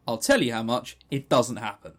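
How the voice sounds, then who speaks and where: A man's voice: mid-range voice, very animated, normal speed, fairly bright tone. A man co-hosting a podcast, casually reviewing a game and retelling its story in a rambling, chatty way with stammering restarts. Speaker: podcast co-host.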